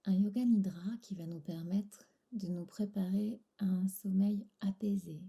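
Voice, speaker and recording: A woman guiding a relaxation meditation in a soft, breathy, soothing voice, pausing between short phrases. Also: mid-range voice, fairly even intonation. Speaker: yoga nidra instructor.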